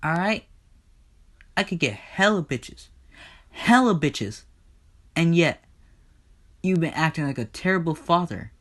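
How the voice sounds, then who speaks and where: A man's voice: a little high, expressive, unhurried, pauses often, a bit bright, voice pushed up. A man voicing an angry, vengeful Hades in a comedic myth retelling, ranting with profanity and threats. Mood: indignant, loud. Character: furious, foul-mouthed, vengeful god Hades, aggrieved brother.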